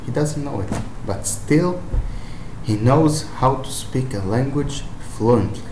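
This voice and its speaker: An Israeli man whose first language is Hebrew gives language-learning advice in English, pausing often with "uh" and restarting his sentences. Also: medium pitch, lively intonation. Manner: hesitant, many "uh" fillers and restarts. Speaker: language-learning video maker. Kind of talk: unscripted advice talk to camera. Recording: echoey room with faint noise.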